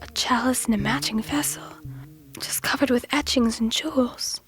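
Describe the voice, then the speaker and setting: A woman playing a brave, curious young woman in an audio drama, thinking aloud in hushed, breathy tones. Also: a little high, steady tone, moderate pace. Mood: awed. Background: music.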